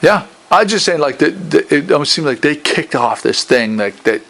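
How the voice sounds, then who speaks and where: A man doing a casual, colloquial interview in a halting, enthusiastic way, as the host. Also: on the high side, animated, vocal fry, fairly bright tone. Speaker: interviewer, local video host. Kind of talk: casual chat, informal interview. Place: small gallery shop indoors.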